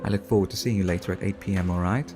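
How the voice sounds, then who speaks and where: A man playing a suave, refined vampire art collector in an ASMR roleplay, speaking in a formal, courteous, flirtatious and measured way. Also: low voice, fairly even intonation, music in the background, lightly acted. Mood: charming, inviting.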